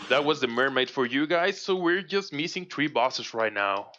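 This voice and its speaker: A man's voice: medium pitch, normal speed. A man from Mexico with a Mexican Spanish accent, his first language Spanish, chatting casually through a live speedrun commentary. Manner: relaxed, chatty, laughs often, reads chat aloud. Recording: dull lo-fi stream audio with game music. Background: game music.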